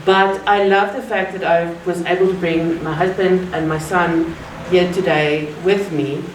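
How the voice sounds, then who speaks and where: A woman from South Africa with an Afrikaans accent, giving an earnest, measured public talk with deliberate pauses. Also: fairly low pitch, fairly even intonation. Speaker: guest speaker. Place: art gallery. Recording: roomy, distant mic, faint ambient noise.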